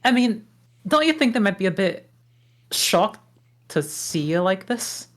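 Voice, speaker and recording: A woman's voice: mid-range voice, very animated, unhurried. A woman voicing a grumpy, sarcastic male ogre at a table read of a film script. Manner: gruff, sarcastic.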